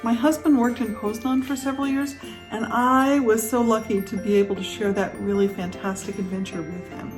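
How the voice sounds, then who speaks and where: A woman's voice: fairly high pitch, fairly even intonation, a little fast, over music, poor audio. An American woman telling a story to camera about her family traditions. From United States. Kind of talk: casual self-introduction to camera.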